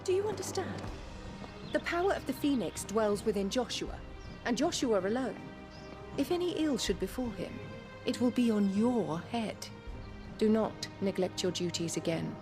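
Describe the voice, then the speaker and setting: Actress as haughty, stern noblewoman, slightly high. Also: lively intonation, normal speed, over music, natural voice. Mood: cold, reproachful. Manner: formal, imperious, clipped. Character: stern, haughty noblewoman, cold and reproachful mother. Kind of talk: dramatic video game acting.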